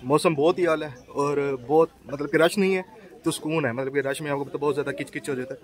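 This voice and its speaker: A man's voice: a little high, fairly even intonation, quick pace. A man, walking outdoors and chatting casually as he points out shops and food. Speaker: travel vlogger. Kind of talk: casual walking tour vlog. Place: busy hill-town street outdoors. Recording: crowd noise around the speaker. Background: crowd of passers-by.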